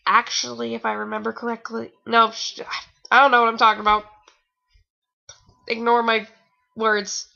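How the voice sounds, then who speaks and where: Young woman, high bright voice, amateur fan fiction reader, theatrical, rambling, exasperated, slightly tired. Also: flat delivery, normal speed, gravelly, long pauses, muffled recording. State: tired, sore nose. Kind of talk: mocking amateur reading with casual asides.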